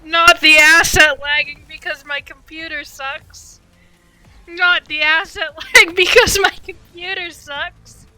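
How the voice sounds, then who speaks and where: A woman live-streaming a game with casual, excitable, gushing commentary. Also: very high voice, takes it slowly, rough recording. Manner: excitable, gushing fan talk. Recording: game music behind the voice. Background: video game music.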